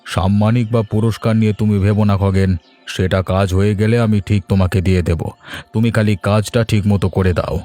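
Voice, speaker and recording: Cartoon villain male actor: calculating, envious wealthy merchant, somewhat low voice, faint music underneath. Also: flat delivery, fast talker, warm tone, close to an everyday voice. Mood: scheming. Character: envious, scheming rich fish merchant villain. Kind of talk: Bengali cartoon story acting.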